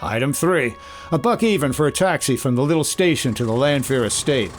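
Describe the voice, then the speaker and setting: A man's voice: mid-range voice, animated, brisk, faint music behind, lightly acted. A man playing a wry, businesslike insurance investigator in the clipped style of 1950s radio detective drama. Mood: crisp narration.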